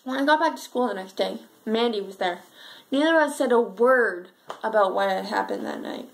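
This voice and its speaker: A sad, frightened schoolgirl played by a woman tells her story in a fairly high voice. The delivery is an earnest amateur monologue, halting and full of pauses. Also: creaky. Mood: subdued, uneasy.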